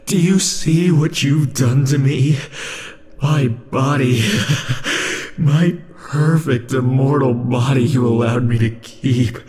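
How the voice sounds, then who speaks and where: A man voicing an obsessive, possessive immortal lover in an ASMR roleplay, speaking softly and slowly with dark chuckles, shifting between tenderness and threats. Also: mid-range voice, natural voice. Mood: bitter.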